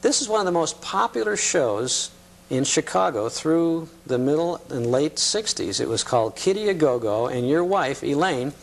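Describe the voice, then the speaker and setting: A man with a fairly high voice hosts a casual television interview about old times. His delivery is conversational and a little hesitant. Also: lively intonation, normal speed, gravelly. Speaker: TV talk show host.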